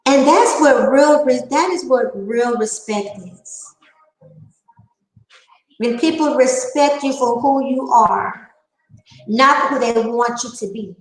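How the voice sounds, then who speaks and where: A woman's voice: sits high, echoey room, rough recording. A woman nearing fifty giving a candid opinion monologue, emphatic and repeating her phrases for effect. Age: late 40s. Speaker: online panel talker.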